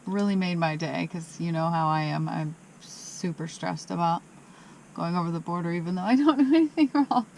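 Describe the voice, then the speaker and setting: A woman's voice: lowish voice, normal speed, vocal fry, darkish tone, low-quality recording. A woman from the United States chatting casually in a travel vlog, giggly and self-deprecating, with light background noise. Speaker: van life vlogger.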